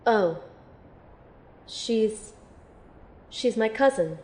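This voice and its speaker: A woman playing a wounded, frightened young woman in an amateur audio drama, speaking haltingly, weary and vulnerable. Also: on the high side, expressive, darkish tone, a little hiss or hum. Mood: weary, sad. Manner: halting, hesitant delivery. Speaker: voice actor playing narrator and lead character.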